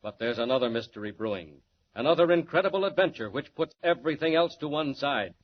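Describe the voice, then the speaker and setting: A man's voice: mid-range voice, lively intonation, quick pace. A man narrating as announcer in dramatic 1940s radio style. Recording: muffled old radio recording, faint noise.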